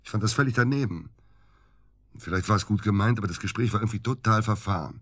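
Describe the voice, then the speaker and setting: Radio drama evasive male doctor under suspicion: deep, husky, hesitant, very dry recording. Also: a bit bright, no echo. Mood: dejected, defensive. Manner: halting, hesitant, defensive. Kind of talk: naturalistic German radio drama acting.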